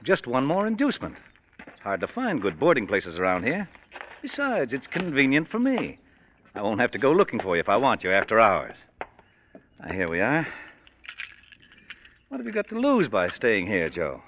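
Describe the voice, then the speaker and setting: An actor playing a smooth-talking, scheming middle-aged American family man and boss in an old-time radio drama. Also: medium pitch, wildly swooping pitch, moderate pace, long pauses, a little hiss or hum. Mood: persuasive. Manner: persuasive, reassuring, evasive. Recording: dull, muffled vintage radio recording.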